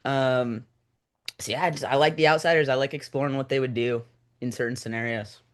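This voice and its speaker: A man with a medium-pitched voice speaks as a filmmaker guest in a relaxed interview format. His delivery is informal and reflective, with phrases that often trail off as he thinks. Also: brisk. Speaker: screenwriter and director guest. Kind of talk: casual conversational interview.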